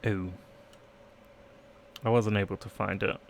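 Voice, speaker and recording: Male game streamer, low register with plenty of fry, reading dialogue and narration aloud between playful asides. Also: fairly even intonation, unhurried, slight background noise. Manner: playful asides, trying out accents. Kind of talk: live let's play, reading game text aloud.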